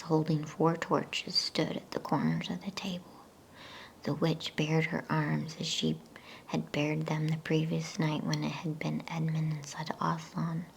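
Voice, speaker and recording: A woman whispering a bedtime story in ASMR style, with a hushed, breathy, gentle delivery. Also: sits low. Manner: slow, hushed, gentle pacing. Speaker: storyteller reading a bedtime book. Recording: faint background noise.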